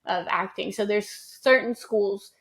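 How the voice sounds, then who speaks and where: A woman's voice: mid-range voice, measured pace. A woman co-hosting a casual chat show, talking loosely with many ums and likes. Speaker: podcast co-host.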